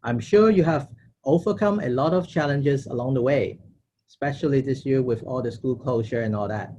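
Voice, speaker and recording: Guy doing a prepared thank-you speech, president type, mid pitch, bit of noise behind. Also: moderate pace, warm tone. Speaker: organization president giving closing remarks.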